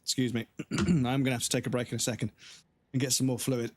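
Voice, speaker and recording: Hoarse gaming streamer, man in his thirties, rambling, profane, clearing his throat. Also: medium pitch, level tone, fast talker, no echo. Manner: rambling and heavily profane, addressing chat. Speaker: gaming livestreamer. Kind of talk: casual live-stream chat while playing.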